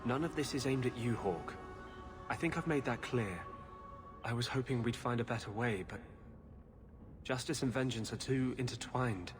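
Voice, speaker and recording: Actor as a troubled, earnest rebel mage. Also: male voice, medium pitch, moderate pace, long pauses, over music, lightly acted. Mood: resigned, earnest. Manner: earnest, resigned, heavy-hearted. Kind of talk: dramatic game dialogue.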